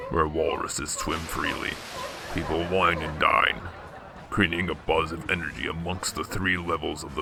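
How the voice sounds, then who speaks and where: A man's voice: extremely low pitch, vocal fry, noisy background, full character voice. A man playing a grave narrator who sets the scenes of a superhero radio drama in scripted present-tense description.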